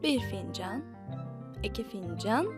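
A woman telling a story to young children in a playful, expressive, repetitive way. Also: mid-range voice, music in the background. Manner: slow, repetitive, expressive delivery with animal mooing.

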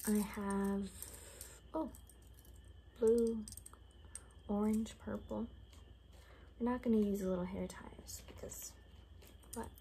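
Soft, mellow young woman whispering ASMR. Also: medium pitch, steady tone, slow pace, long pauses. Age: young adult. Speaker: ASMR creator playing a caretaker. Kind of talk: ASMR roleplay, casual improvised chat. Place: small home bedroom, indoors. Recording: faint background room noise.

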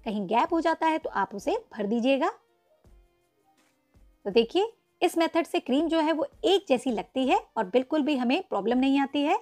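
A woman's voice: high voice, expressive, normal speed, darkish tone, soft background music. A woman narrating a step-by-step cake icing tutorial, patiently instructing viewers and calling them friends. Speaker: cooking channel host. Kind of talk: step-by-step baking tutorial voice-over.

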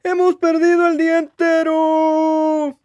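A man voicing an excitable, impatient elephant in a children's picture book, exclaiming and wailing dramatically. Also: very high-pitched, slow pace, dry recording, lo-fi sound, voice pushed up. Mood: dramatic despair.

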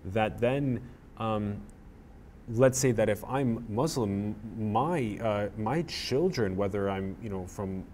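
A man in his thirties hosting an interview, talking in a rambling, animated way with lots of "like", on a lapel mic in a small room. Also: on the low side, slow pace, a little hiss or hum. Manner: rambling, animated, uses "like" a lot. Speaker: podcast host. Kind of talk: casual interview chat. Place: small plain room indoors.

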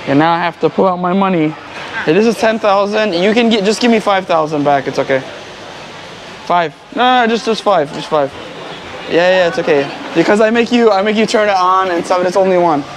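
A man's voice: high-pitched, a little fast. A man from the United States vlogging casually on a busy street, explaining local food and words to his viewers. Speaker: travel vlogger. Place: busy city street with traffic. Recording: street noise and traffic behind.

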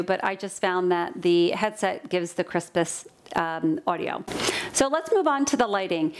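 A woman in her forties, a dietitian, explaining her live cooking demo setup to camera in a home kitchen, chatty and step by step, on a headset mic. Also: mid-range voice. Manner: chatty, explains step by step, moves a lot while talking. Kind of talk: instructional talk to camera. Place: home kitchen studio, indoors.

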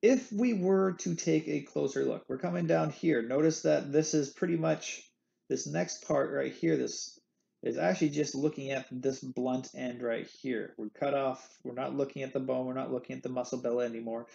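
A man with a gravelly, mellow voice talks through lecture slides in a playful, jokey way, full of candy analogies. The sound is a bit dull and lo-fi, like a computer mic. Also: medium pitch, fairly even intonation, moderate pace. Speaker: anatomy instructor. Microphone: screen recording with webcam.

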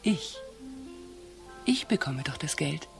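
A self-possessed, provocative widow in a noir radio play. Her delivery is mocking and lively, swinging in pitch, over clearly audible music. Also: heavily acted. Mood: guarded, mocking. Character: cool, scornful, provocative widow of a murdered man. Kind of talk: radio crime drama acting.

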